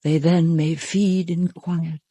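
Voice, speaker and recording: An actress playing a proud condemned noblewoman in a Jacobean tragedy, solemn and declamatory as she calmly faces death. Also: low-pitched, slow, breathy edge, no room sound, heavily acted. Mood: solemn defiance. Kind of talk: Jacobean stage tragedy acting.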